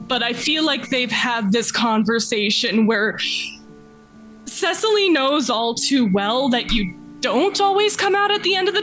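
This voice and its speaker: A woman's voice: fairly high pitch, a bit bright, poor audio. A woman playing a tabletop roleplaying game, chatting casually and improvising her character's part. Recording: background music under the voice.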